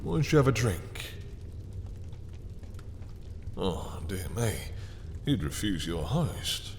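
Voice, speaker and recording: Man playing a slow, very deep seductive vampire lord. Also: sing-song, long reverb tail, noisy background, full character voice. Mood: mock-gracious. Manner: mock-courteous, seductive, taunting, with breathy low chuckles. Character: suave, possessive, menacing male vampire lord. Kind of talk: intimate scripted roleplay audio acting.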